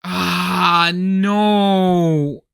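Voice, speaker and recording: Radio comedy: fussy, insistent male collector, played by an actor, bright-edged voice, dramatic and stammering, dry sound. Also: high voice, fairly even intonation, drawn-out words, gravelly, smooth, dry recording, full character voice. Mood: hesitant. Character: earnest, fussy man obsessed with old holiday tapes. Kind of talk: comedic radio play acting.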